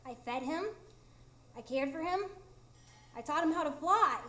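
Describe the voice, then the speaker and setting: A woman's voice: high voice, animated, a little slow, a bit bright. A woman playing a heartbroken, proud fairy living as a human, in a plaintive, emphatic live radio drama performance. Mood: bitter, hurt. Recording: roomy live stage performance.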